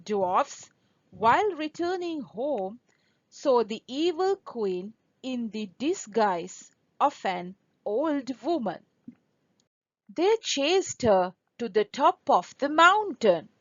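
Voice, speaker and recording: A woman narrating a scripted fairy tale for English learners in a deliberate, expressive storybook manner. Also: fairly high pitch, dry recording, muffled recording.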